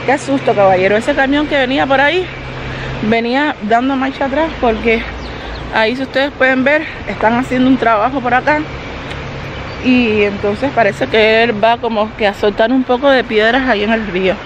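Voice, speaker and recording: A woman's voice: a little high, moderate pace. A woman vlogging as she walks outdoors, holding the camera herself and chatting straight to her viewers. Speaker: family vlogger. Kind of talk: casual walking vlog. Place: outdoors by a road, walking. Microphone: handheld camera. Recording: noisy outdoor street audio. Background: traffic and street noise.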